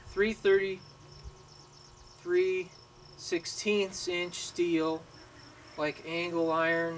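Young guy teaching welding outside, kinda high voice, slow with lots of ums, crickets faint behind. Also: a bit bright. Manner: halting, many ums and restarts. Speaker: welding instructor. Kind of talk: casual how-to demonstration. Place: outdoors at an open garage door. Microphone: camera mic from a distance. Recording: faint outdoor insect noise. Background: crickets and insects outdoors.

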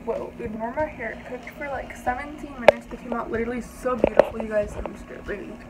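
A woman's voice: a little high, quick pace, heavy background noise. A young woman talking casually to the camera while baking in her home kitchen, rambling and chatty with lots of fillers. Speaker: vlogger. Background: background music.

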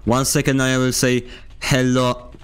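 A man streaming a video game, chatting casually and teasing his teammates with deadpan jokes. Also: medium pitch, unhurried, clean tone. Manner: joking, teasing, mock-serious deadpan. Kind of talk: casual gaming chat. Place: home room with a fan.

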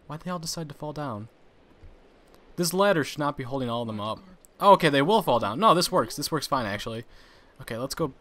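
A young man casually commenting on his own gameplay, chatty and quick to laugh at his mistakes. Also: fairly high pitch, lively intonation, a bit bright. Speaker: gaming channel host.

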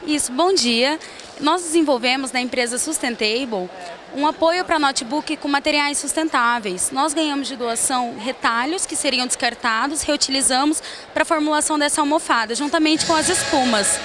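A woman's voice: high-pitched, moderate pace, a bit bright. A young woman with a Brazilian accent, a student explaining her work in an interview on a handheld microphone. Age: young adult. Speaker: student presenter. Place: large indoor fair. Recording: busy fair noise behind.

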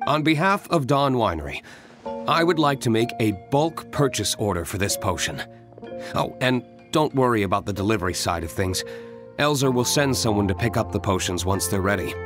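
A man playing a composed, courteous young business owner in a video game, speaking in a formal, measured, polite way. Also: lowish voice.

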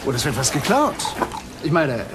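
An actor playing a gruff, defensive fruit-shipping boss who blusters under questioning in a German crime radio drama. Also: male voice, fairly high pitch, very animated, a little fast, background noise. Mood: justifying. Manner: evasive, blustering.

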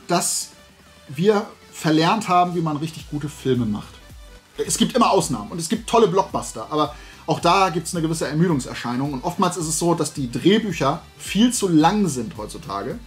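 A man, chatting to camera in a casual, opinionated, rambling and emphatic way as a video host. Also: fairly high pitch. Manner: animated rambling, colloquial, emphatic. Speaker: YouTube host of a toy collecting channel. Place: small indoor room lined with shelves of toys.

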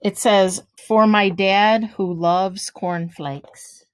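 Storybook reader: middle-aged woman, mid-pitched, unhurried, phrase-by-phrase delivery, dry close room sound. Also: steady tone, dry recording. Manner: slow, deliberate reading with pauses between phrases. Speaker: teacher reading a picture book aloud. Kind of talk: children's storybook read-aloud. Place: small room at home, indoors. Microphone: webcam or device at arm's length.

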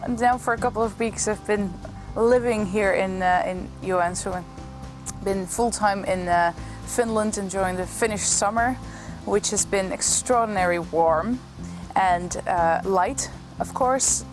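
Dutch woman chatting warmly about her move. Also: medium pitch, a little slow, music in the background. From Netherlands. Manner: thoughtful, friendly, searches for words. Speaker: band vocalist. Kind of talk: documentary interview.

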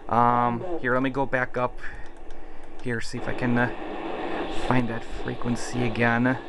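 Halting man on a noisy home recording. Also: medium pitch, completely flat delivery. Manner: hesitant, self-deprecating, halting. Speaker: hobbyist video maker. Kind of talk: casual unscripted demonstration. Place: indoors in a carpeted room at home. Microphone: handheld camera mic. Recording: noisy home camera recording, radio static.

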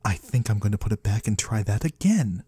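Male performer as otherworldly void trader, ASMR roleplay, husky, swooping intonation, quaintly formal, curious, close dry mic. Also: lowish voice, expressive, fairly quick, mellow, no echo. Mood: intrigued. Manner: musing, quaintly formal, savors single words. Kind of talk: ASMR roleplay, soft slow close speech to the listener. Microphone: close microphone, dry.

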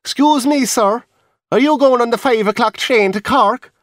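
A man voicing a chatty young dancer, high and plaintive, fussing over his sore feet. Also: moderate pace, a bit bright, no room sound, studio quality.

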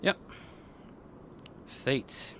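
A man's voice: very slow, fairly bright tone, slight background noise. A man doing playful, casual gameplay commentary, chattily reacting and echoing what characters say. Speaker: game streamer. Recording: game music under the voice. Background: video game music.

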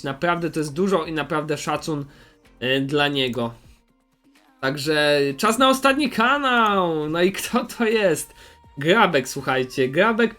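A man's voice: high voice, fairly bright tone. A man talks off the cuff as a YouTube host. He is hesitant, circles back over his words and fills gaps as he goes. Faint music plays behind him. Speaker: YouTuber host recommending channels. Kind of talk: casual unscripted commentary.

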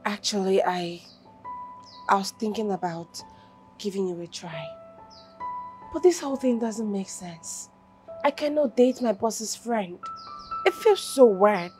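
An actress with a Nigerian accent playing a young house help, polite and hesitant, in a naturalistic screen drama. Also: female voice, mid-range voice, lively intonation, a little slow, pauses often, darkish tone, soft background music, close to an everyday voice. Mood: reluctant.

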